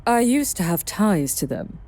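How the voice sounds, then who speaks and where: A woman's voice: mid-range voice, very animated, unhurried, a little mellow. A woman playing a worldly, guarded vampire sire in an audio drama, explaining things in dramatic phrasing. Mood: guarded, confessional. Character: self-exiled female vampire sire, worldly, guarded, brooding.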